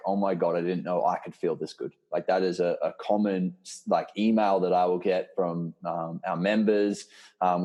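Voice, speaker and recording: Deep, mellow-voiced male fitness coach. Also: dull audio. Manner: encouraging, reassuring, informal. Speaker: host and fitness program coach. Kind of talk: casual group video-call coaching chat. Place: live online video call.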